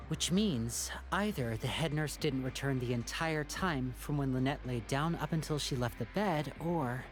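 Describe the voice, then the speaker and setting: An actor playing a composed, watchful young man in a video game, speaking politely and deliberately, with music behind. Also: on the high side, close to an everyday voice. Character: composed, courteous, watchful young man, protective older brother.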